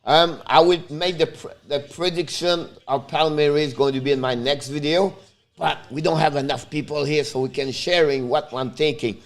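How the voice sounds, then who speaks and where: A man with a Quebec French accent, French his first language, calls the game and chats with viewers on a casual live stream. His voice sits a bit high for a man. He breaks into loud excited outbursts. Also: moderate pace. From Montreal, Canada. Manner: talks straight to viewers. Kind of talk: casual live play-by-play and chat.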